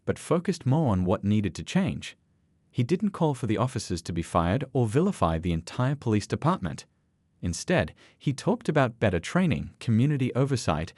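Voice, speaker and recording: A man reading a scripted, sentimental story in a measured, earnest storytelling manner. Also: lowish voice, darkish tone, dry recording. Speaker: story narrator.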